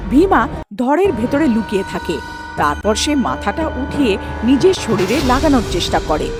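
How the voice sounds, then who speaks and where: A woman narrates a cartoon tale from a script. Her voice is fairly high with very animated intonation, and music is clearly present behind her.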